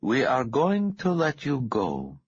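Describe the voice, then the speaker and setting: Man playing a soft-spoken, menacing masked interrogator, dull recording. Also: mid-range voice, wildly swooping pitch, breathy edge, no room sound, pitched above the performer's own voice, full character voice. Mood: calm menace. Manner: soft-spoken, unhurried, falsely courteous. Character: calm, menacing balaclava-masked male interrogator with irritating accent. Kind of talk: audiobook character dialogue.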